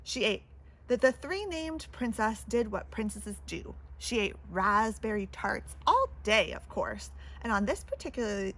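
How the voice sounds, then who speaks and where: A woman's voice: mid-range voice, sing-song, unhurried, fairly bright tone, poor audio. A woman reading a children's picture book aloud with theatrical, dramatic emphasis. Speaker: storybook reader.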